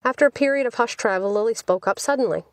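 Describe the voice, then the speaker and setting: A woman's voice: fairly high pitch, fast, dry recording. A woman with an American accent reading a scripted story as an audiobook narrator. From United States.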